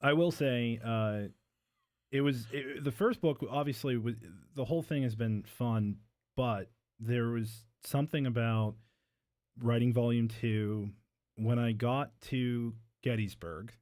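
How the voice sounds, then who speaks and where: A man's voice: medium pitch, a little slow, a little mellow. A man, a novelist, talking casually as a guest in an interview.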